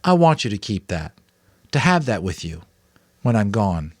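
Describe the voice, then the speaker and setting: A man's voice: on the low side, sing-song, moderate pace, dry recording. A man voicing an earnest, determined adolescent boy in a dramatic audiobook reading.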